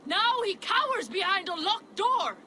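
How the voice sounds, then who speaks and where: An actor playing an enraged Viking villager who shouts accusations in a game cutscene. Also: male voice, very high voice, sing-song, measured pace, a little hiss or hum, exaggerated voice. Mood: furious.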